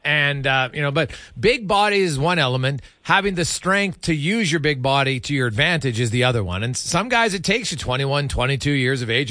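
A man's voice: mid-range voice, bright, forceful tone, dry recording. A man hosting a sports radio interview in a conversational style, thinking aloud as he builds his questions.

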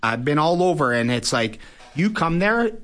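A man's voice: fairly high pitch, sing-song, normal speed, a bit bright. A man speaks as an athlete guest in a casual interview, conversational and often tagging phrases with "you know" and "right?". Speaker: guest, professional boxer.

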